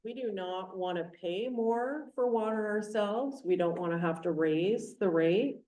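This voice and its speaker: A woman's voice: medium pitch, unhurried, warm tone. A woman chairing a council meeting as mayor, speaking plainly and explaining with everyday examples. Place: council chamber. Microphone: distant room mic. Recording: echoey council chamber from far off.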